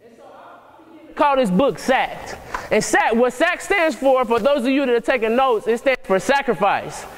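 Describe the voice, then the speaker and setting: A man's voice: very high-pitched, lively intonation, moderate pace, fairly bright tone, a little hiss or hum. A young man giving an earnest, preaching-style motivational talk to a congregation, repeating and restarting his phrases as he builds emphasis. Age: young adult. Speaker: motivational speaker. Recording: echoey hall reverb.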